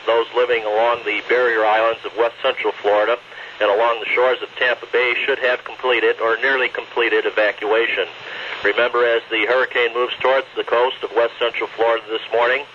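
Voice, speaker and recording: A man's voice: mid-range voice, level tone, fairly quick. A man reading an official weather bulletin as a radio announcer, in a formal, matter-of-fact manner. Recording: dull noisy radio broadcast recording.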